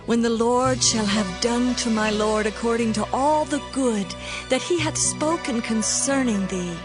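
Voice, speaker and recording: A woman acts a devout, humble wife in an audio Bible drama. She pleads in a fairly high, measured voice, slow and formal, over prominent music. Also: lightly acted. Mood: humble pleading. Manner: earnest, formal supplication. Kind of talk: dramatized audio Bible acting in archaic English.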